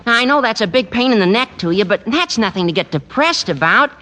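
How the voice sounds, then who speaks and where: A man's voice: high voice, animated, a little fast, bright, forceful tone. A man playing an eager, gabby, naive teenage schoolboy in 1940s radio comedy, earnest and gushing. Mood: gabby, excited. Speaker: actor in a radio sitcom.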